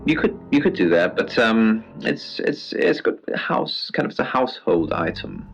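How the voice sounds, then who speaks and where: An actor playing an eccentric, macabre curiosity shop keeper who co-hosts a late-night radio show in a deadpan, hesitant way. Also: male voice, on the low side, moderate pace, vocal fry, lo-fi sound. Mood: deadpan, eerie. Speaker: radio co-host. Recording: band-limited radio sound over music.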